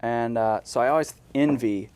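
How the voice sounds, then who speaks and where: A man's voice: medium pitch, normal speed, a little mellow, smooth. American man, casual podcast co-host. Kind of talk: casual chat.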